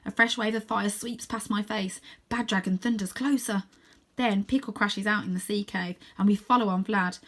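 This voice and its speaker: A woman narrating a children's story in a dramatic, expressive, suspenseful way with clear emphasis. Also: mid-range voice, moderate pace. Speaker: storybook reader, teacher.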